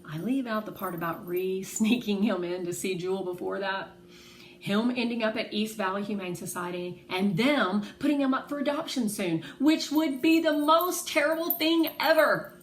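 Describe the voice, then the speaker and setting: A woman reading a children's novel aloud in an expressive, animated way, acting out the dialogue. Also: mid-range voice, moderate pace. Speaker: read-aloud narrator. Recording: roomy echo, faint background noise.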